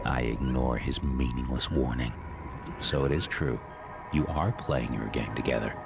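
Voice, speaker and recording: A very deep-voiced, cynical gunman. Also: steady tone, moderate pace, over loud music. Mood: grim, defiant. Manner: sardonic, gritty, world-weary. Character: cynical dead gunman doomed to fight through purgatory. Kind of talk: noir inner monologue and game dialogue.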